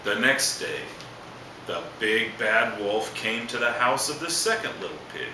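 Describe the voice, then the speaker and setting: A man's voice: medium pitch, animated, unhurried. A man reading a children's storybook aloud as a storyteller, adding asides. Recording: echoey, distant, noisy room recording.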